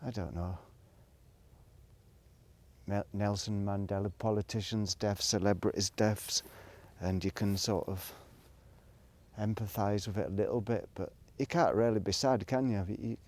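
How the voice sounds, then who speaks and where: A man's voice: lowish voice, steady tone, measured pace, darkish tone. A middle-aged man, rambling reflectively in a casual solo monologue, hesitant and thinking aloud as he goes. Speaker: solo video blogger.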